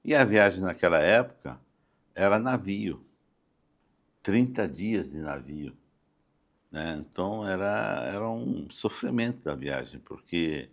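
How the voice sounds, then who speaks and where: An older Brazilian man speaking as a guest in a conversational podcast interview, halting and often adding small check-in tags. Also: sits low, lively intonation, vocal fry. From Campo Grande, Brazil. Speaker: interview guest, doctor and city councillor. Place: podcast studio.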